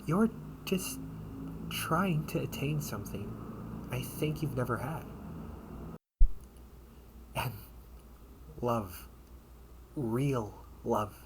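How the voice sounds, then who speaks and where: A man playing a protective, sardonic older brother in an amateur audio roleplay, speaking in slangy, halting phrases with casual menace. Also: medium pitch, very animated. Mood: stern, exasperated. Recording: noisy amateur home recording.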